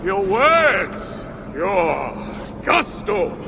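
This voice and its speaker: Casting: tipsy dwarf bard, high for a man, gravelly, grandiose and declamatory, game cutscene. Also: very animated, very slow, heavily acted. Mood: excited, grandiose. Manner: theatrical, declamatory, exclaiming. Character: boisterous, theatrical, drunken dwarf poet, grandiose yet sorrowful. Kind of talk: video game cutscene acting. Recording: long hall reverb, clear background noise.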